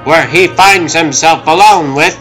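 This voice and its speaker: A male narrator delivers dramatic comic-book captions. His voice is slightly high and forceful, measured in pace, with faint music beneath. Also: rough recording.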